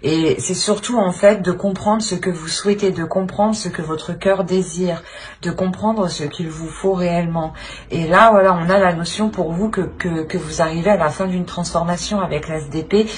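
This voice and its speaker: A woman's voice: on the low side, steady tone, moderate pace, a little hiss or hum. A woman giving an unscripted tarot reading to viewers, hesitant and searching for words as she repeats phrases. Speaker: tarot reader and channel host.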